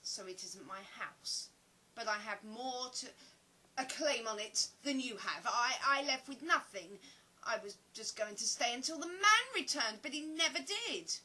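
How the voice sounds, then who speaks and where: A woman acting in an audio play as a sharp, suspicious woman who interrogates a stranger in an accusing, theatrical, easily indignant way. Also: a little high, animated, moderate pace, heavily acted, poor audio. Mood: defensive, flustered.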